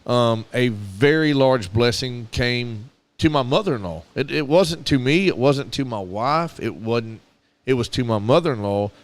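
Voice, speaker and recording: Smooth-voiced Southern man, earnest talker. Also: medium pitch, expressive, moderate pace. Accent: Southern American. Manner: earnest, storytelling, with repeated restarts. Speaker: podcast co-host. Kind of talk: casual radio chat.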